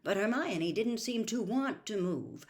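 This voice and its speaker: A woman reading a storybook aloud as an expressive narrator of scripted fiction. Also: medium pitch, a little slow. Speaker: audiobook narrator.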